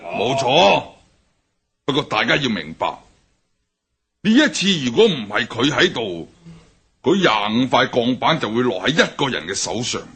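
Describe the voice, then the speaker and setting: An actor playing a stern older triad boss in a radio drama, speaking with a Hong Kong Cantonese accent and a raspy, commanding manner. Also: male voice, medium pitch, very animated, a little slow, pauses often, fairly bright tone, exaggerated voice. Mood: grave. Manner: commanding, barks orders. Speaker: gang leader.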